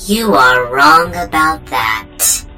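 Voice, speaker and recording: A man voicing a monstrous, domineering insect swarm queen in an audio drama, speaking with slow, imperious menace. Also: a little high, big pitch swings, sharp tone, soft background music, exaggerated voice. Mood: menacing gloating.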